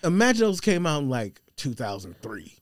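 A man's voice: on the high side, very animated, moderate pace, no echo, studio quality. An American man in casual podcast chat, explaining things in an informal, slangy way. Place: podcast studio.

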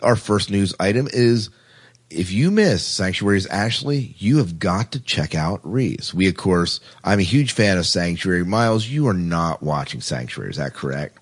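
A man's voice: low-pitched, expressive, normal speed, husky. A man hosting a podcast, chatty and rambling, reading out news items in a casual, self-deprecating way. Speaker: podcast host.

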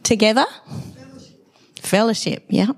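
A middle-aged woman preaches at a church service, her dry voice still hoarse from laryngitis. She talks conversationally and keeps turning questions to the congregation. Her pitch sits in the middle range. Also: measured pace. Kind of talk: sermon.

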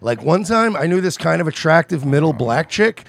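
A man's voice: on the high side, normal speed, gravelly, no room sound. A man co-hosting a podcast, riffing in crude comedic banter with mocking jokes and frequent laughs. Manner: mocking, animated, laughs often. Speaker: podcast co-host.

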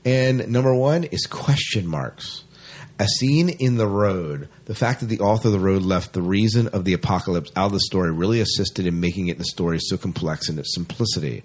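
Low husky man, chatty podcast host. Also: fairly quick. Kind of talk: casual sci-fi podcast chat.

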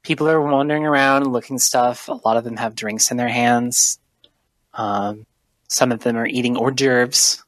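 Male game master, mid-pitched, rambling delivery, dry close mic. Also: steady tone, normal speed, fairly bright tone, no echo. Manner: loose, rambling, full of fillers. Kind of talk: narrating a tabletop game.

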